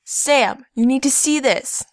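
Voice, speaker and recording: A woman's voice: high voice, takes it slowly, slight background noise. A woman playing an earnest young boy who presses his friend, in an audio drama. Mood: urgent.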